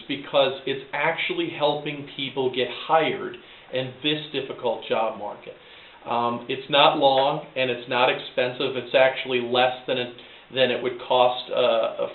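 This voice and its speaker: Echoey, phone-like recording of a low-voiced man coaching. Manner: direct, practical, emphatic on key words. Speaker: college recruiter and career coach. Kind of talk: advice talk, semi-prepared instruction. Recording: echoey room, thin phone-like sound.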